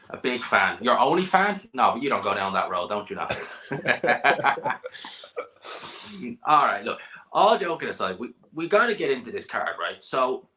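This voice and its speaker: A man's voice: mid-range voice, very animated, unhurried, bright, forceful tone. A man hosting a live boxing chat stream, joking and bantering with a guest. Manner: jokey, banter-heavy, laughs often. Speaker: boxing channel host.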